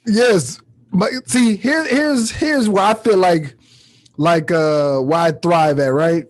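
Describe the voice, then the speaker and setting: A man with a fairly high-pitched voice talks as a podcast host and comic. His delivery is casual and slangy, like easy conversation between fellow comedians. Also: takes it slowly. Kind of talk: casual chat.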